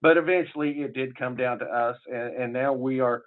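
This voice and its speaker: A man's voice: mid-range voice, steady tone, fairly quick. A man with a southern Illinois accent giving a conference presentation in a folksy, rambling way, with many fillers and restarts. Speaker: public health association presenter. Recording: tinny phone-like audio.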